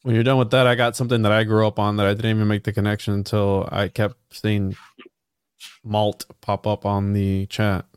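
A man's voice: lowish voice, flat delivery, fairly quick, clear, resonant tone, dry recording, studio quality. A man from Puerto Rico co-hosting a livestream, chatting enthusiastically. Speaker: co-host. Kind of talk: casual livestream chat.

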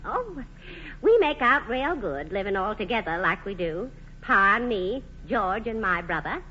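A woman's voice: mid-range voice, big pitch swings, unhurried, pushed and bright, husky, full character voice. An actress playing a plain-spoken, folksy small-town woman in a 1940s radio play. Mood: chatty, homespun. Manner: folksy, homely turns of phrase. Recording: muffled, hissy old radio broadcast.

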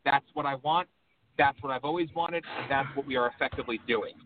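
A man's voice: mid-range voice, fast. A man hosting a call-in radio show, talking in an impassioned, rambling, emphatic way. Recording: tinny phone-line audio with faint background hum.

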